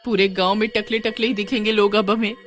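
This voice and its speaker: A woman's voice: mid-range voice, little pitch movement, a little fast, soft background music, lightly acted. A woman voicing an indignant, distressed young village wife in a cartoon horror story, with dramatic, complaining delivery. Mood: worried. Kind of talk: acted cartoon dialogue.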